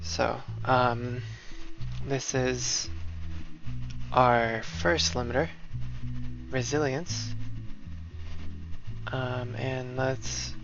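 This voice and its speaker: A man giving casual commentary on a game playthrough and reading aloud, halting as he thinks out loud. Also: mid-range voice, little pitch movement, vocal fry, dull audio. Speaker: gaming streamer. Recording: game music behind the voice.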